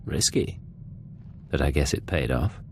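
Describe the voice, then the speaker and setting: An actor playing a cool, controlled secret agent in a video game, speaking in a measured, suave way. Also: male voice, a bass voice, animated, a little mellow, slight background noise, full character voice. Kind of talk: scripted video game acting.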